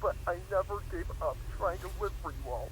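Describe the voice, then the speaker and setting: Man playing a higher-pitched, pleading doomed pony. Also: a little fast, fairly bright tone, rough recording. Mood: sorrowful farewell.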